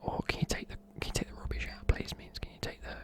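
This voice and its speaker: A man, whispering softly in a chatty, rambling way while explaining words. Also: fast talker. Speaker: ASMR creator. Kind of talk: whispered ASMR explaining slang.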